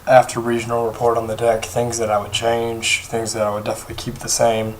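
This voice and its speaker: A young man talks in a hoarse, worn voice, his pitch held flat and even. He rambles casually with frequent ums and restarts while going over a card deck. A faint hiss of room noise sits under him. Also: a little fast. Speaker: card game deck reviewer. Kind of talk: casual deck profile talk. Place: indoors at a table, off camera.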